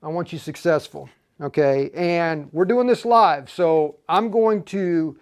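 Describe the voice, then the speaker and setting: Male tutorial host, higher-pitched, casual and halting, talks straight to the audience. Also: clear, resonant tone. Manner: halting, repeats and restarts phrases; informal, addresses viewers directly as "you guys". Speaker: livestream host and instructor. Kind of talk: live tutorial, casual talk to viewers.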